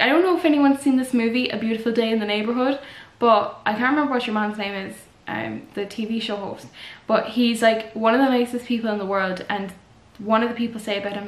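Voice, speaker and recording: Chatty woman in an echoey room. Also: mid-range voice. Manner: chatty, earnest, rambling with fillers. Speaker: vlogger. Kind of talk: casual advice chat to camera.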